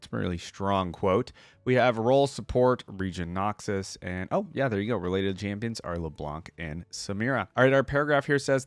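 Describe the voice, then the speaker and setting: Man, low voice, dry sound, reaction host, informal and conversational, commenting and reading lore aloud. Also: no echo.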